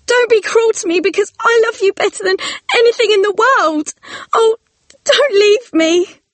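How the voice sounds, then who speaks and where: Heartbroken young actress, way up high, begging and emotional. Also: male voice, normal speed, a bit bright, no room sound. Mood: pleading. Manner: high falsetto, emotional, pleading. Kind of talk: audiobook character voice.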